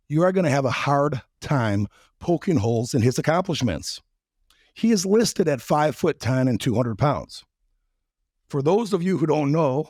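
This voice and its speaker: A male podcast host whose voice is raspy from a recent trip, a little higher than most men. He talks bluntly and loosely, swears for effect and teases his guest. The sound is dry and close. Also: a little mellow, no echo. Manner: blunt, profane, joking. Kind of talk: casual interview and monologue intro.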